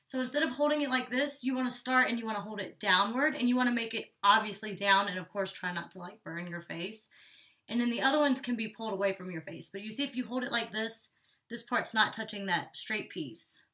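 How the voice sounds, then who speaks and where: A woman's voice: mid-range voice, a little fast, sharp tone. A young woman chatting casually through a hair tutorial, rambling with lots of "like", a bit congested and out of breath. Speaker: beauty vlogger. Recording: distant from the mic.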